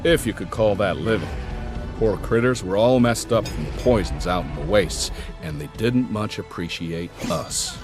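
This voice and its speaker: An actor playing a gruff, folksy middle-aged survivor who spins tall tales in a wry, rambling, colloquial way for a video game. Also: male voice, fairly low pitch, animated, normal speed, lightly acted. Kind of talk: video game story narration in character. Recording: music behind the voice. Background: prominent music.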